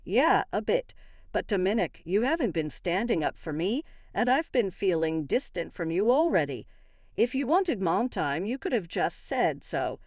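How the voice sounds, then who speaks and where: A woman's voice: medium pitch, very animated, normal speed, a little mellow, no echo, studio quality. A woman playing a wronged, indignant wife in a narrated story, argumentative and emphatic. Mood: accusing, hurt. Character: wronged, indignant young wife confronting her in-laws. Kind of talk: acted dialogue in a narrated story.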